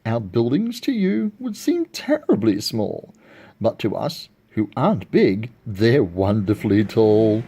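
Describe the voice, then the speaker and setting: A man's voice: on the low side, sing-song, slow pace, warm tone, husky, exaggerated voice. A man voicing a tiny, polite, grateful storybook mayor with an earnest, piping delivery.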